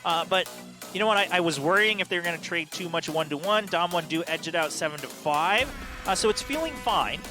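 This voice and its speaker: A man casting a competitive match in a slightly high, chatty voice, breaking down plays and laughing now and then. Loud game music runs under his talk. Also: expressive, fairly quick. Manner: chatty, analytical, laughs often. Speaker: esports caster. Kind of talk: live esports commentary.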